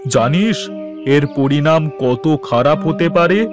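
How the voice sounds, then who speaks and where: A man's voice: on the high side, unhurried, lightly acted. A man playing a scheming, contemptuous village landlord in a cartoon, with a threatening, commanding way of talking. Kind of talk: animated cartoon villain dialogue. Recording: music behind the voice.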